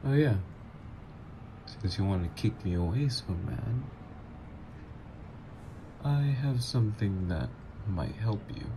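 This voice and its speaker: A man's voice: fairly low pitch, animated, takes it slowly, vocal fry, long pauses, noisy background, heavily acted. A man voicing a teasing supervillain ex. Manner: teasing, playful, flirtatious. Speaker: voice actor. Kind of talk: ASMR roleplay audio.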